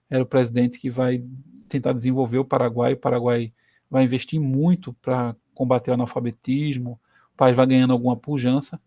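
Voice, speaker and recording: A man's voice: mid-range voice, vocal fry, dark tone, no room sound, studio quality. A Brazilian man giving a recorded history lesson in an easy, conversational way, often checking in with his listeners as he explains. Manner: conversational, frequent "né" tag questions. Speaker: history teacher.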